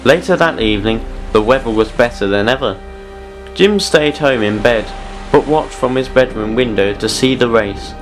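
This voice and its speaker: A man's voice: mid-range voice, normal speed, soft background music. A man reading scripted narration for a children's style audio drama story.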